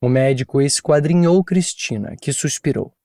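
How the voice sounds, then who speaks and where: A man narrating an audiobook in an even, measured storytelling manner from a studio booth on a close mic. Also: mid-range voice, no room sound. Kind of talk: scripted narration.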